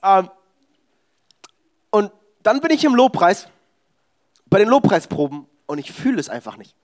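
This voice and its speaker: A man's voice: high voice, sing-song, a little slow. A young man preaching a sermon in a church service, exhorting the congregation with emphatic, personal testimony.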